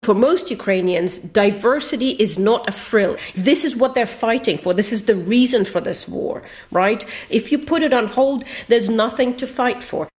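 A woman's voice: fairly high pitch, lively intonation, normal speed, hardly any pauses. A woman giving an earnest interview comment as an academic and campaigner. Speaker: anthropologist and campaigner.

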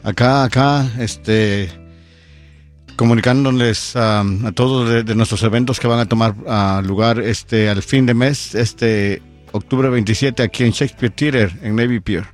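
Man, unhurried guest storyteller. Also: medium pitch, steady tone, soft background music. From Guatemala. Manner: unhurried, many fillers like "este". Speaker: storyteller and event producer, guest. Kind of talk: radio interview. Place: radio studio.

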